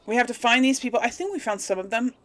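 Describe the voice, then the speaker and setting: A woman's voice: a little high, fairly quick. A woman hosting a let's play, talking informally to her viewers and thinking aloud as she goes. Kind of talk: casual gaming commentary to viewers.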